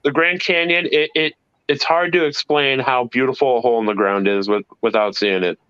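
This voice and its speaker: A man's voice: medium pitch, steady tone, brisk, fairly bright tone, smooth, no echo, dull audio. A man with a North Carolina accent chatting casually on a livestream, telling long eager stories about his travel plans.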